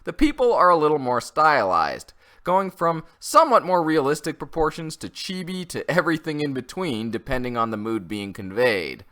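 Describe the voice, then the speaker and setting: A man's voice: a little high, big pitch swings, moderate pace, fairly bright tone. A man narrating a scripted review in an opinionated, conversational way. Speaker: comic and manga reviewer.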